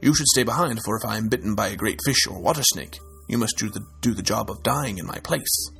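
Guy putting on a deep gravelly spider trickster, slow and sly, storybook vibe. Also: lively intonation, a bit bright, full character voice. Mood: scheming. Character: cunning lazy male spider trickster.

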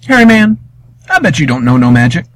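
A man voicing a scared young country boy who talks high and excitedly. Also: brisk, dry recording. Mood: daring.

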